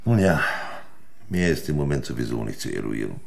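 An actor playing a shrewd, sardonic examining magistrate in a crime radio play, questioning in a pointed, condescending way. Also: male voice, very deep voice, big pitch swings, vocal fry, a little mellow, heavily acted. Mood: sardonic, final. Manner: pointed, condescending, deliberate interrogation.

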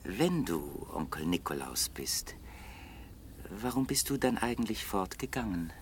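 An actor playing a wealthy, urbane young bachelor with a measured, drily ironic and polite manner, in a 1960s German radio play. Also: male voice, deep voice, big pitch swings. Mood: probing, ironic. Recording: noisy 1960 radio play recording.

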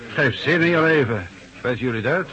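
A man's voice: mid-range voice, expressive, fairly quick, crowd noise, close to an everyday voice. An actor playing a chatty, devout barman in a remote bar, in the style of a Dutch radio drama. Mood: earnest, fervent. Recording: dull, lo-fi old radio recording.

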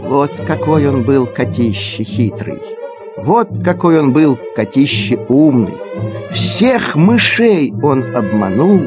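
A man reciting children's verse as a theatrical storyteller, expressive and dramatic. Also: on the high side. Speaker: storyteller, performer. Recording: digitized cassette audio play. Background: music.

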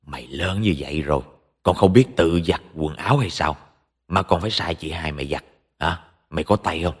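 Man acting a deep-voiced, stern older Southern Vietnamese father. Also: lively intonation, husky, heavily acted. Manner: commanding, blunt, measured. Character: stern, authoritative older father, low and steady. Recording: long hall reverb.

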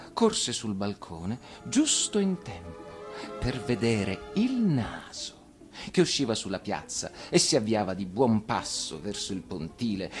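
Male actor for expressive fairy-tale narration, very animated intonation, light music underneath. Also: lowish voice. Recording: radio reading over music.

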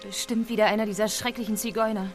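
An actress playing a worried, disdainful Victorian gentleman's wife in a radio play, anxious and genteel. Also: mid-range voice, steady tone, fast, faint music behind, close to an everyday voice.

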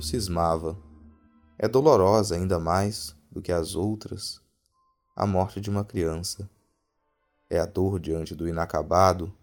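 A man with a Brazilian accent narrating a scripted horror story in a measured, literary reading manner. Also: low-pitched, a little mellow. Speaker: podcast narrator of a true ghost story. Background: background music.

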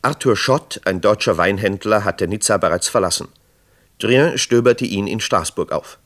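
A man's voice: fairly low pitch, moderate pace, natural voice. A man narrating a scripted true crime radio drama in a sober manner. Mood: sober, suspenseful.

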